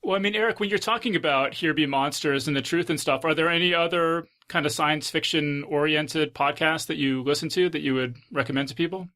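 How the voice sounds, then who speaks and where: Bright-voiced man hosting an interview. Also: a little high, fairly quick, hardly any pauses. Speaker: podcast host interviewing guests. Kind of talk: conversational interview.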